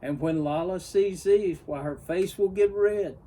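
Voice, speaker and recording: A man voicing a jealous, vain young female bird from a storybook, excitable and whiny in exclaimed rhymes. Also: high voice, unhurried. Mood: gloating. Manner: exclaiming, boastful, whiny rhyming speech. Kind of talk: storybook character voice.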